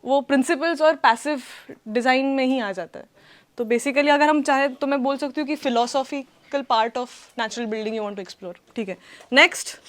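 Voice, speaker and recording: An Indian-accented woman leads a workshop class. Her voice is high, and she talks interactively, mixing two languages as she goes. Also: normal speed, fairly bright tone. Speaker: workshop teacher. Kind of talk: interactive teaching, mixing Hindi and English.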